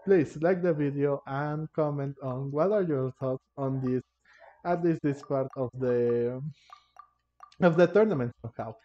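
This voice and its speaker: A man giving casual gameplay commentary as a video host, halting and searching for his words. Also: mid-range voice. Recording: dull lo-fi mic, game music behind. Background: game music.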